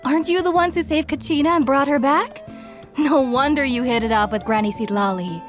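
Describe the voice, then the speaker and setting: A chatty young tavern keeper. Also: female voice, high-pitched, expressive, brisk. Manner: friendly, gossipy. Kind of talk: video game voice acting. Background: game music.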